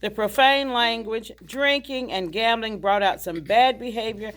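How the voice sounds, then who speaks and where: A woman's voice: fairly high pitch, measured pace, a bit bright, a little hiss or hum. A woman reading the part of a genteel, chatty, gracious older widowed hostess in a stage play. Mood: reminiscing. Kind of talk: stage play table read.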